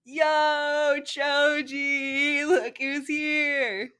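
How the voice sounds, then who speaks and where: A woman's voice: high-pitched, little pitch movement, extremely slow, sharp tone, voice pushed up, heavily acted. A woman voicing a drunk, boisterous young male ninja with an exuberant, slurring delivery in a fan fiction reading. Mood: excited shouting.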